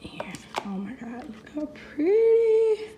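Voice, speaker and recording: A woman's voice: high-pitched, sing-song, slow, muffled recording. Woman, young adult, unboxing vlog host, chatty, still getting over a cold, roomy echo, faint ambient hiss. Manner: chatty, addresses viewers as you guys. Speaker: vlogger host. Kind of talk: casual unboxing review. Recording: echoey room.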